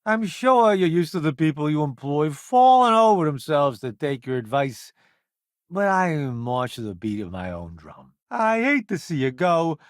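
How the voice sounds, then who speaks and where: Theatrical, wildly expressive wisecracking food critic. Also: male voice, fairly high pitch, a little slow, no room sound, studio quality. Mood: breezy, boastful. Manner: glib, theatrical, sardonic. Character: flamboyant, wisecracking male celebrity food critic turned spaceship crewmate. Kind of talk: video game character voice acting.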